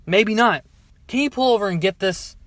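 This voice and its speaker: A man's voice: high voice, moderate pace, a bit bright, dry recording. A man playing a haughty, irritated wealthy passenger in an amateur audio drama, curt and snippy.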